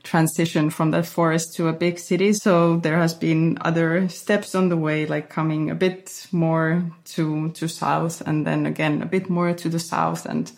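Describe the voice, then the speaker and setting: A woman from Finland, a choreographer, answering interview questions in a thoughtful, halting way with many restarts. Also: deep voice, little pitch movement, vocal fry, darkish tone. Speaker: guest choreographer. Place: Berlin.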